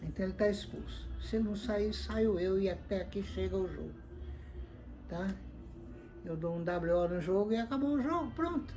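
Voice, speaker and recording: A woman's voice: mid-range voice, expressive, moderate pace, warm tone, soft background music. An older woman from Brazil, a former football referee, telling stories in conversation and acting out both sides of the quoted exchanges. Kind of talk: conversational storytelling.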